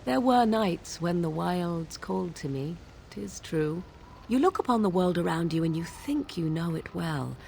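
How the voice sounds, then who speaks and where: A woman voicing a proud, aloof young witch of the wilds in a fantasy game, speaking in archaic, measured, formal phrases. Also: lowish voice, gravelly, a little mellow, a little hiss or hum, heavily acted. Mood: guarded, musing. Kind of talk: fantasy video game dialogue.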